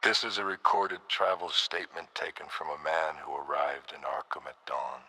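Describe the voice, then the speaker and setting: A man playing a grave radio narrator, giving a scripted account of a stranger's testimony in a sombre, measured way. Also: a bass voice, fairly even intonation, pushed and bright, a little hiss or hum, heavily acted.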